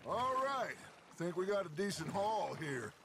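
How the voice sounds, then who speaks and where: An actor playing a practical, calm outlaw in a Western video game. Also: male voice, sits high, measured pace, heavily acted. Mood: easygoing. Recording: water and boat noise.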